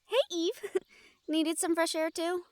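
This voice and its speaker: A woman's voice: very high-pitched, slow pace, no echo. A woman playing an earnest, studious, slightly bossy young woman in a scripted audio roleplay, speaking in an organized, teasing, formal way. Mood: friendly.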